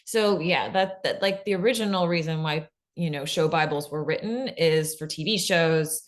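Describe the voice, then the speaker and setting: A woman's voice: low voice, moderate pace. A woman giving an informal instructional talk as a panelist, chatty and explanatory, often dropping "like" into her sentences. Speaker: panelist, fiction podcast expert.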